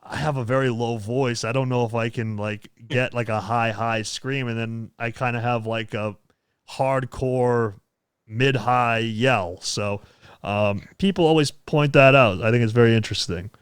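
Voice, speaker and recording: A man's voice: medium pitch, fairly even intonation, unhurried, clear, resonant tone, no room sound, studio quality. A man hosting a casual podcast chat, rambling with frequent restarts and easy laughs. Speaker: podcast host and metal vocalist.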